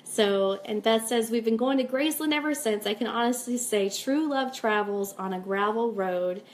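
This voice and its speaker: A woman's voice: fairly high pitch, normal speed, a little hiss or hum. A woman hosting a casual live stream, chatting informally and upbeat as she reads out comments and announcements. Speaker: host of a fan radio live stream.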